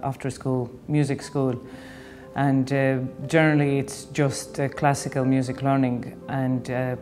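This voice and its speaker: A man's voice: medium pitch, flat delivery, a little slow, a little mellow. A man from Latvia with a Latvian accent, a music educator answering interview questions hesitantly with many fillers.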